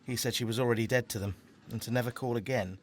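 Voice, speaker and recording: A man acts a kindly, cheerful council officer in an audio play. He is friendly and a touch hesitant, with a mid-pitched voice over faint noise. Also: steady tone, fast talker, close to an everyday voice. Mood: earnest, businesslike. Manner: friendly, chuckling, slightly hesitant. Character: cheerful, kindly, easily amused male council funeral officer. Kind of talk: naturalistic audio drama acting.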